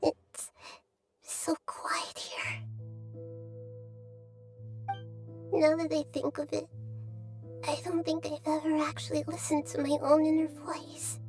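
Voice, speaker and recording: Little girl goddess game voice, super high, soft n halting, earnest, really expressive. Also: full character voice. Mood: lonely, doubting. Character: gentle, childlike young goddess of wisdom, lonely and earnest. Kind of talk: scripted video game voice acting. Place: studio recording with music behind.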